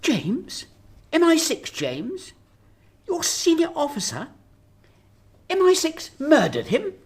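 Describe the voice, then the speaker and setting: A woman acting in a radio drama as a sharp-tongued, anxious Prime Minister, interrogating with emphasis and sarcasm. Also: sits high, wildly swooping pitch, slow, exaggerated voice. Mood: shocked, accusing.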